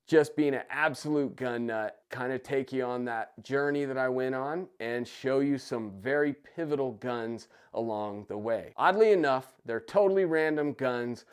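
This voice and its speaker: A man hosting his own channel, talking casually about his story, his voice raspy and a bit nasal from a cold. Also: mid-range voice. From United States. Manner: enthusiastic, chatty. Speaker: gun channel host. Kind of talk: casual personal vlog. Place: basement room.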